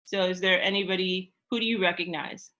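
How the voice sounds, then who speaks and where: A woman's voice: fairly low pitch, steady tone, brisk, sharp tone. A woman hosting an interactive storytime lesson, prompting her listeners with questions as she talks through a book. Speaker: storytime host and teacher. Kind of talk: interactive read-aloud discussion.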